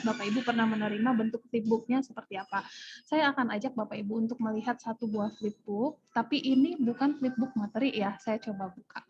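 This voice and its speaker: A woman's voice: fairly high pitch, darkish tone, husky. A woman with an Indonesian accent teaching an informal online tutorial over a video call, often checking in with her listeners. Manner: repeatedly checks with listeners using "ya". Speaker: online workshop trainer. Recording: dull lo-fi video-call audio.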